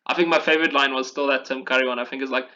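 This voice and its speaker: A man's voice: mid-range voice, steady tone, very fast, sharp tone, dull audio. A man chatting casually and enthusiastically about video games in an informal way, as a podcast host.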